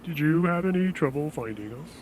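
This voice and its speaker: A man playing a polite, persuasive insurance salesman in an audio drama, speaking in a measured, reassuring way. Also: fairly high pitch, expressive, a little mellow, a little hiss or hum, close to an everyday voice, rough recording. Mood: polite, businesslike. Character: smooth-talking, reassuring male death insurance salesman.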